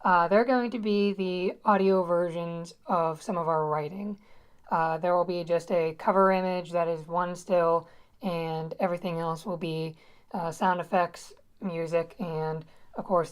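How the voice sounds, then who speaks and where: A woman speaks as a writer presenting an audio series. Her voice is a little low, and the introduction is informal and unpolished.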